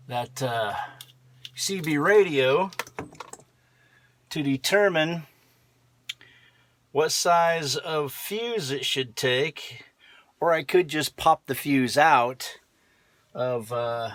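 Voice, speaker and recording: A middle-aged American man narrating a hands-on vlog in a casual, unhurried way, thinking aloud as he goes. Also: on the high side, a bit bright, dry recording. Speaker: vlogger showing a vehicle radio installation.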